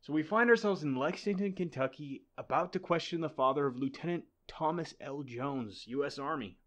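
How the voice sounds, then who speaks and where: A man's voice: a little high, moderate pace, a bit bright, natural voice. A man acting in old-time radio style as an earnest, formal assistant to a detective. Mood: discouraged.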